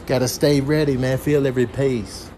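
A man's voice: mid-range voice, flat delivery, moderate pace, dark tone, a little hiss or hum, close to an everyday voice. A man voicing a swaggering animal tough guy in a comic voiceover, barking emphatic slangy orders.

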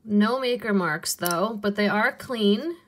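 Woman, young adult, unhurried, slow relaxed talk, soft muttered asides, casual haul video. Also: medium pitch. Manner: unhurried, softly muttering asides, cooing over pieces.

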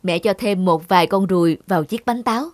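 A woman playing a firm, caring mother in an animated moral tale, speaking in a measured, explanatory, motherly way. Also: lowish voice, lightly acted. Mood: patient, coaxing. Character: firm, caring mother of two young daughters. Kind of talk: animated cartoon dubbing, clear instructive dialogue. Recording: music behind the voice.

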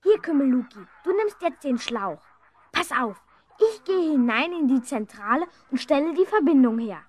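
Boastful older schoolboy ringleader, 1970s radio play; shrill-high, animated, pushy. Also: moderate pace, slight background noise. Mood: bossy, scheming. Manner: bossy, goading. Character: cocky older schoolboy prankster, bossy and boastful. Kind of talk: 1970s German children's radio play acting.

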